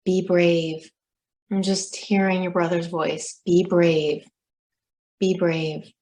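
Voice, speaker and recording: A woman life coach speaking slowly and softly on a video call, reflecting back what she hears. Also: on the low side, level tone. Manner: slow, soft-spoken, reflective. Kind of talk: gentle coaching session. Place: home room on a video call.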